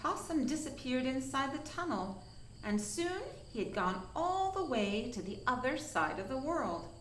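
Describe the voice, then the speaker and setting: A woman storyteller reading a children's picture book expressively, with dramatic pauses and emphasis. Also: sits high, very animated, echoey room, far from the mic, slight background noise, poor audio. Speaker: storyteller from a science museum.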